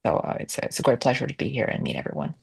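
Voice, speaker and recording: A woman speaks politely and at a measured pace. Her voice sounds dry and close to the mic, with no room around it. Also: a bass voice, animated, vocal fry, husky, no room sound. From China. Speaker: debate panelist. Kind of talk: casual self-introduction.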